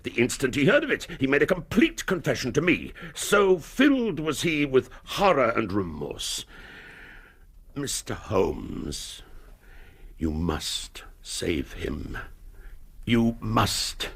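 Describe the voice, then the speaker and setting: An actor in a radio period drama playing a proud, anguished upper-class English duke, formal and grave, halting under strain. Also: male voice, mid-range voice, very animated, vocal fry, a bit bright, husky, heavily acted. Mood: anguished pleading.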